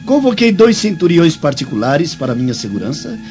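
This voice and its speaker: An imperious Roman master sneers in a highly animated, theatrical radio drama voice. Clear music plays behind him, and the recording is muffled. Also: male voice, fairly quick. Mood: imperious, mocking. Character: arrogant, scheming Roman master ordering his slave.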